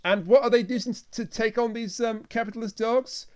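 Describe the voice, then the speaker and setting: A man's voice: very high-pitched, steady tone, brisk, a little mellow, slight background noise. A man co-hosting a review podcast, casually retelling a plot. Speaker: podcast co-host.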